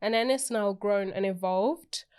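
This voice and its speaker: A woman from Portugal, a guest co-founder, speaking in a thoughtful, measured way. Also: medium pitch, darkish tone, clean tone. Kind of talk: podcast interview.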